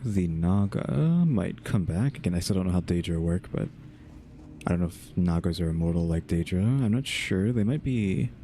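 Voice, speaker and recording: A man's voice: deep voice, expressive, moderate pace, warm tone, slight background noise. A man giving casual, laid-back video game commentary, musing aloud as he plays. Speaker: gaming channel host. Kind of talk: casual let's-play commentary. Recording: clear game music behind voice.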